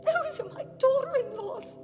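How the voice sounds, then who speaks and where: Departed royal lady for afterlife radio drama, played by an actress: high, wistful, swooping, halting, heard in a reverberant hall. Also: animated. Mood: tearful joy. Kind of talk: radio play acting. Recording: reverberant hall with music behind.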